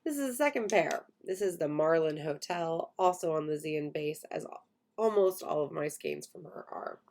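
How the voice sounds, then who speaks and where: A young woman in her late twenties hosts her podcast with a croaky, hoarse voice that still cracks after an illness. Her tone sits a little low and gravelly with plenty of fry, and she chats along in a friendly, self-deprecating way, laughing often. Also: expressive, normal speed, a little mellow. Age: 20s. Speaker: podcast host. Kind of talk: casual chat.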